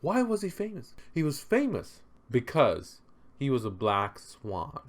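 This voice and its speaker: A man's voice: medium pitch, huge pitch swings, a little slow. A man presenting an unscripted, conversational explainer, speaking emphatically with rhetorical questions and repeated phrases for stress. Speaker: presenter explaining history and philosophy.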